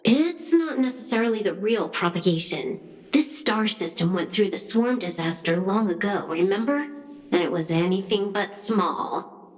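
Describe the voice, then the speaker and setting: A woman's voice: medium pitch, animated, moderate pace, roomy sound, big-room sound, soft background music, close to an everyday voice, low-quality recording. An actress playing a cool, wry young female hacker in a video game, with a dry, teasing, laid-back delivery. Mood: calm, explaining. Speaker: game character voice actor. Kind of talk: video game dialogue acting.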